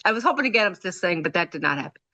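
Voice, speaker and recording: A woman hosting a casual chat, eager and quick to laugh, with frequent hesitations. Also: lowish voice, expressive, sharp tone, highs cut off. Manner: enthusiastic, laughing often, many fillers. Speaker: host.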